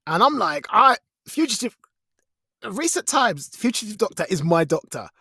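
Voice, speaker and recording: A man co-hosting a casual podcast, telling things in an animated, rambling, colloquial way. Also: high voice, moderate pace, vocal fry, slightly rough, no echo, studio quality. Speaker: podcast co-host, also audio drama performer.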